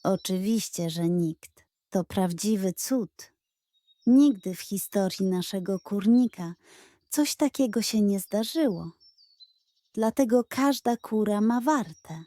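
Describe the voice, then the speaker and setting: A woman voicing a proud, chatty old hen in a children's storybook, boastful and clucking, coy when flattered. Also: mid-range voice, slow pace, dry recording, studio quality, natural voice. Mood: self-important.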